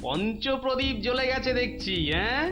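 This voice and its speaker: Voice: high-pitched, expressive. An actor voicing a jovial grandfatherly village storyteller in a cartoon, teasing and avuncular. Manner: avuncular, teasing, drawn-out exclamations. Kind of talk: animated cartoon character acting and storytelling. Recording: music behind the voice.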